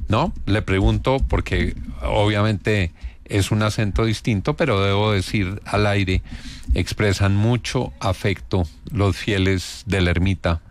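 Male radio host, fairly low voice, formal brisk on-air tone. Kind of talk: live radio presenting.

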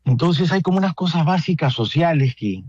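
A man with a Peruvian accent, a priest answering radio questions in a halting, thoughtful way with many restarts. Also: a little high, animated, a little mellow, husky, no room sound, muffled recording. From Peru. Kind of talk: radio interview guest.

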